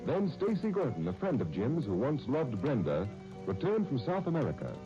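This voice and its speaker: A man's voice: mid-range voice, huge pitch swings, normal speed, over music. A man announcing and narrating in a polished, emphatic 1930s radio style. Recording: dull 1930s radio broadcast.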